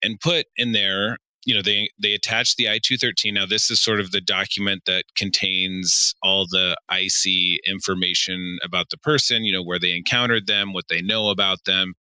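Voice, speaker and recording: A man's voice: low voice, little pitch movement, normal speed, hardly any pauses, bright, forceful tone, clean tone, no echo, rough recording. A man co-hosting a podcast, telling stories and explaining in a casual way. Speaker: podcast co-host.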